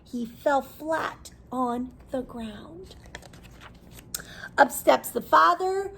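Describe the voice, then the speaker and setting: A woman with a high voice that often drops into creak reads a story aloud to children. She is animated and teacherly, explaining words in simple terms and putting questions to her listeners. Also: drawn-out words, a little hiss or hum. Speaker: teacher reading a picture book aloud to children.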